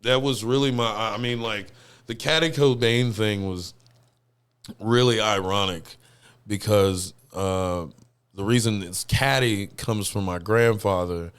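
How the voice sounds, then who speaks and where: Raspy low-voiced man chatting casually. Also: fairly even intonation, measured pace, fairly bright tone. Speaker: guest musician. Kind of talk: casual podcast conversation.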